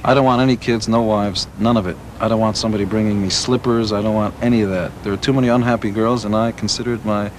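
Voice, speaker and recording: A man's voice: lowish voice, steady tone, fast, few pauses, a little hiss or hum, low-quality recording. A man telling wry stories in a joking interview. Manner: dry, wry storytelling. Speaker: band member being interviewed.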